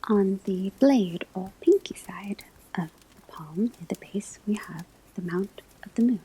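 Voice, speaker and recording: A woman whispering in a breathy, husky voice, delivering a scripted ASMR classroom lecture as a teacher, with deliberate, measured phrasing. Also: medium pitch, dark tone, low-quality recording. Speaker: ASMR performer playing a divination class teacher.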